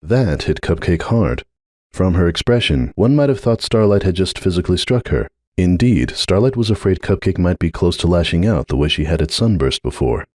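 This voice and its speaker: A man's voice: low voice, lively intonation, normal speed, a little mellow, dry recording. Male story narrator, dry close recording. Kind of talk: dramatic fan fiction reading.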